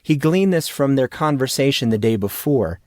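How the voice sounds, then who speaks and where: A man's voice: mid-range voice, lively intonation, normal speed, no room sound, clean studio sound. A man reading fiction aloud as a scripted audiobook narrator.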